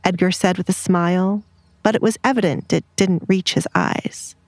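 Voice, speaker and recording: Low-pitched woman narrating a romance. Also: normal speed, darkish tone, dry recording. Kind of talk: scripted romance audiobook narration. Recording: music bed behind narration. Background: background music.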